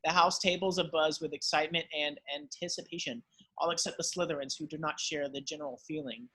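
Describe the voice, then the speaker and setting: A man's voice: fairly high pitch, steady tone, brisk, sharp tone, husky, low-quality recording. A man reading screenplay stage directions as dramatic scripted narration.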